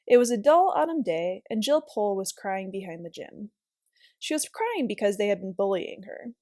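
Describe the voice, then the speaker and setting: A woman's voice: mid-range voice, expressive, normal speed, dark tone, no echo, studio quality. A woman reading aloud as a volunteer storyteller, narrating a children's novel expressively.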